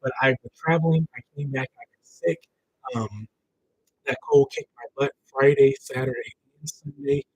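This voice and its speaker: A man with a raspy voice from a cold, hosting a casual livestream about his notary business and talking straight to his community in slangy, animated chat. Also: mid-range voice, normal speed, rough recording.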